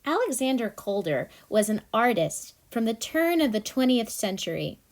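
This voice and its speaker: High-voiced woman teaching children. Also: very animated, moderate pace. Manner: explains simply with frequent questions to the viewer. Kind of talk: children's how-to lesson, casual talk to camera. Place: indoors at home.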